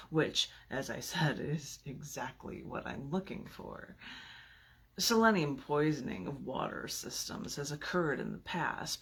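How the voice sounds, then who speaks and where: A woman poet with a husky, gravelly voice, reading her work theatrically. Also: very deep voice. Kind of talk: dramatic poetry reading with casual asides.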